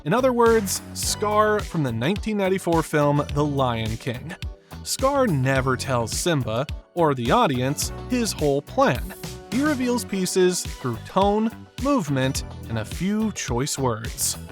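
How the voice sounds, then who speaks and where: A man's voice: a little high, very animated, unhurried, music in the background. A man delivering scripted narration as the narrator of a video essay.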